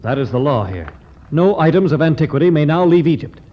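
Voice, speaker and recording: Fast, prickly, suspicious expedition assistant. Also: male voice, on the high side, sing-song. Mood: distrustful. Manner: brisk, emphatic, defensive. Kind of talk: 1950s radio adventure acting. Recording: muffled 1951 radio recording.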